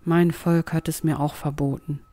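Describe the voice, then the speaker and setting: An old female dryad, acted by a woman, guarding nature in a fantasy audio drama. Her voice is mellow and soft-edged, and she speaks with gentle, mysterious deliberation. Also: low voice, level tone, normal speed. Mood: wistful.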